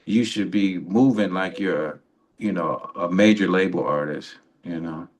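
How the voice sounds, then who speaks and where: Deeper-voiced man giving casual advice. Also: measured pace. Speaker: radio co-host.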